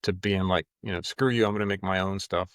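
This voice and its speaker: A man hosting a casual podcast, talking in a relaxed, rambling way and swearing now and then. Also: deep voice, fast talker, clear, resonant tone, no room sound, clean studio sound. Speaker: podcast host. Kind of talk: casual chat.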